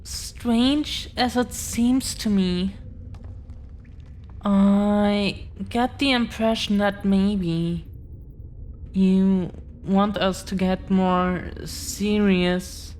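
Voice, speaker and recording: Woman playing a slow, halting, devoted dragon-blooded girlfriend. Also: medium pitch, fairly even intonation, darkish tone, slight background noise. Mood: earnest, devoted. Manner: hesitant, halting, earnest, tender. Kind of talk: intimate audio roleplay, scripted confession.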